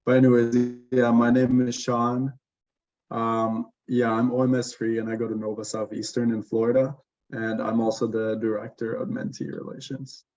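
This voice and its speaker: A man's voice: medium pitch, flat delivery, normal speed, darkish tone. Laid-back man on a dull line. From Sweden. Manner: casual, with lots of "like" filler. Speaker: medical student and mentor. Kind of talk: casual webinar talk. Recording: dull lo-fi audio.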